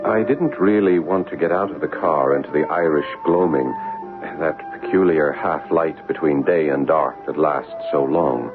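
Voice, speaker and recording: Troubled man, deep, measured, dramatic radio-play delivery, music behind. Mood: brooding narration. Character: troubled grown son, wary, stubborn, narrating his eerie visit. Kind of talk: old-time radio drama acting and first-person narration. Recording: tinny old radio broadcast, music behind.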